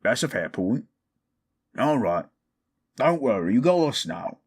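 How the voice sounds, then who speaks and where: Man voicing a gruff, lively orc warrior. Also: medium pitch, a little slow, full character voice. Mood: fond, reassuring. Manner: blunt, colloquial, teasing. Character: gruff, good-humoured male orc veteran warrior. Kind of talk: improvised fantasy audio roleplay.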